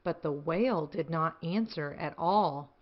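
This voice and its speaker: Slow-paced woman reading stories to children. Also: deep voice, roomy sound, muffled recording. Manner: enthusiastic. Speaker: children's storytime host and reader. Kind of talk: expressive read-aloud and chat to children.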